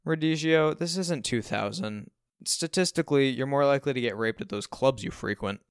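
A man plays a dismissive businessman father who never raises his voice. He is calm, logical and matter-of-fact, in a smooth, clear audiobook delivery. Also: mid-range voice, normal speed, dry recording, studio quality, voice pushed up, close to an everyday voice. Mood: scoffing. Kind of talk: audiobook character dialogue.

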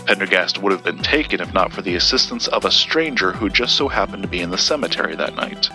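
An actor playing an earnest, guarded collector of occult lore, telling his tale in a measured audio drama style. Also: sits low, expressive, sharp tone, faint music behind, heavily acted. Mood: measured storytelling. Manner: measured, storytelling.

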